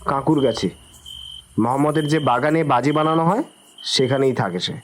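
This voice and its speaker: A man's voice: mid-range voice, normal speed, slight background noise, natural voice. A man playing a nervous, evasive young suspect in an audio drama, stammering and protesting in an agitated way. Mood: wary, resigned. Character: Hossain, nervous, evasive young man under police suspicion.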